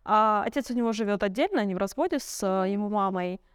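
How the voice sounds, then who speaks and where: A young woman with a smooth, clean voice recorded close and dry. She speaks as a podcast guest, candidly sharing personal stories. Her manner is reflective, and she often feels for the right word. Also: mid-range voice, fast, no room sound. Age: young adult. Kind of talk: candid conversational storytelling.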